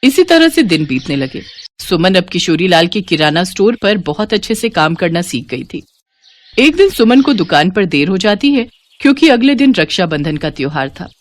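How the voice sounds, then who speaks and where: A woman's voice: fairly low pitch, sing-song, moderate pace. A woman reading a scripted devotional moral story as its narrator.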